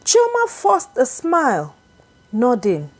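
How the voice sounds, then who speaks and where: A woman tells a dramatic folktale in a high voice that swings widely in pitch. Her delivery is slow and deliberate, shaped as expressive scripted narration. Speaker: storyteller, narrator.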